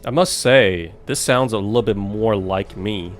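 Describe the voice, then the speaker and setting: A man's voice: fairly low pitch, a little slow, faint music behind. A man streaming a game with casual commentary, musing aloud and chatting with his viewers. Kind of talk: casual let's play commentary.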